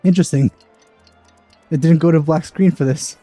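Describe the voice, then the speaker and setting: A man's voice: a little high, moderate pace, dark tone, no echo, faint music behind. A man giving casual let's play commentary in offhand, dry asides. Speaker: gaming YouTuber.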